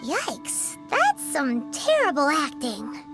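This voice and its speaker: A tiny, sassy fairy sidekick from a video game. The voice is extremely high, squeaky and animated, cute yet suspicious and outspoken. Music sits clearly behind it. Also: female voice, slow, full character voice. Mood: grumbling.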